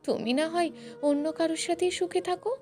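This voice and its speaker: Woman acting a high-voiced brooding, wounded young man. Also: moderate pace, a little mellow. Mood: heartbroken. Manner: curt, wounded, melodramatic. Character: brooding, heartbroken, curt young man in love.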